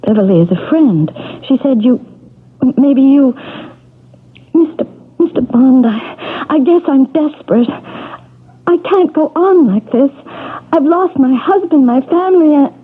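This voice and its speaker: Anxious, high-voiced woman, old radio drama. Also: lively intonation, a little slow. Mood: desperate. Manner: hesitant, stammering, breaking off mid-phrase. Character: anxious, desperate married woman tormented by headaches. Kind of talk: 1970s radio drama acting. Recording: muffled, lo-fi old radio broadcast.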